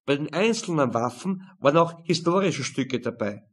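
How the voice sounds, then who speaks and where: A man's voice: a little high, animated, normal speed, breathy edge. A man voicing a matter-of-fact veteran detective in an audiobook, explaining dryly.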